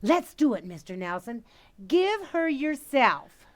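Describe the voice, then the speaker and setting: A woman's voice: high voice, very animated, slow, exaggerated voice. A woman playing a gushing, dreamy young girl in an old-time radio comedy, earnestly romantic and emphatic. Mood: swooning.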